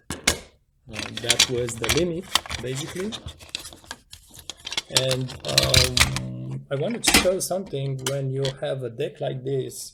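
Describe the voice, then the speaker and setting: Gravelly man explaining casually, noisy recording. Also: mid-range voice. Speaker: tutorial presenter. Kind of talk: casual hands-on demonstration, unscripted. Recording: clearly noisy home recording with clicks.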